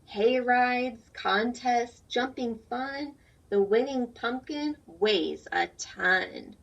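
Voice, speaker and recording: A woman's voice: a little high, extremely slow. Woman reading to children, dull distant recording. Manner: simple, explanatory, addressing young children with questions. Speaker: teacher reading a picture book aloud to children. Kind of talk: read-aloud lesson for young children with simple explanations. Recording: dull, lo-fi sound, distant from mic.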